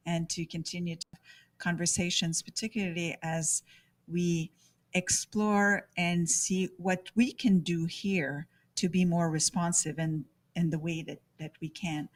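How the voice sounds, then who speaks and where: A woman moderating a panel speaks in a lowish voice. She stops and restarts often, stringing her thoughts together with fillers. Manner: halting, many restarts and fillers.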